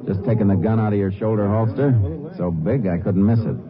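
An actor playing a steady, tough Texas Ranger with dry, clipped retorts in a 1950s radio drama. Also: male voice, lowish voice, a little fast, close to an everyday voice. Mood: dry, firm. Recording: muffled old 1950s radio recording, crowd noise.

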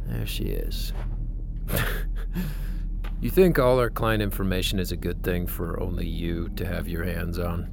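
A man's voice: low voice, animated, measured pace, gravelly, pauses often, background noise, heavily acted. Fed-up, swooping-voiced angry male engineer. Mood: bitter. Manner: profane, confrontational. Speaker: actor in audio drama. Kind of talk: naturalistic audio drama acting.

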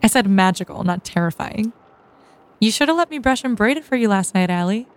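A woman's voice: mid-range voice, lively intonation, fairly quick, natural voice. A woman playing a breezy, playful ship captain who is easily flustered, in an audio drama. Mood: breezy, teasing. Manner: jaunty, teasing.

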